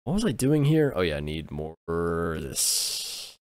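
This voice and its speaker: A man's voice: deep voice, very animated, measured pace, smooth, no room sound, studio quality. A man with an American accent rambling casually to his viewers while livestreaming a game, often restarting and correcting himself. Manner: rambling, self-interrupting, chatting with viewers. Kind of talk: casual gaming livestream chat.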